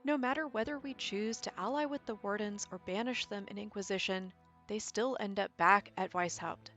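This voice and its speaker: A woman giving a conversational, explanatory narration as a content creator, telling it in an animated, emphatic way. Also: mid-range voice, moderate pace, lo-fi sound. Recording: voice over background music. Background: background music.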